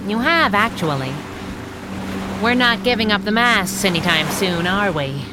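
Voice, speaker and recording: An actress playing a plucky, fearless young masked sidekick in 1930s radio serial style, spirited and wisecracking. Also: female voice, mid-range voice, big pitch swings, unhurried. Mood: reflective. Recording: clearly noisy background ambience.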